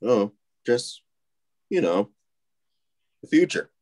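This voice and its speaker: An actor playing an awkward, preoccupied young wealthy man in a read-through of an animated film, hesitant and husky. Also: mid-range voice, slow pace, no echo, clean studio sound, exaggerated voice. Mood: sheepish. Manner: hesitant, sheepish.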